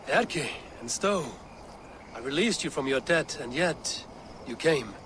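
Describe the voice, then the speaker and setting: An actor playing a loyal, commanding Viking war leader in a video game, measured in manner. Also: male voice, a little high, animated. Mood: steady, rallying. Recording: noisy outdoor ambience behind.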